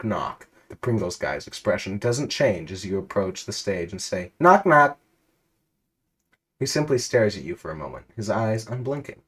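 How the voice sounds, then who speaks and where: A man reads a silly story aloud in a deadpan style. His voice is fairly low and husky, and he stumbles now and then and starts sentences over. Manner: deadpan reading, stumbles and rereads lines. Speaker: reader. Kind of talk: comic dramatic reading of a story.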